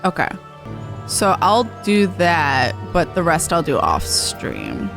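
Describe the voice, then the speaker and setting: A woman's voice: lowish voice, wildly swooping pitch, takes it slowly, creaky, music in the background. A woman chatting casually as she streams a video game with a companion. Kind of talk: casual livestream chat.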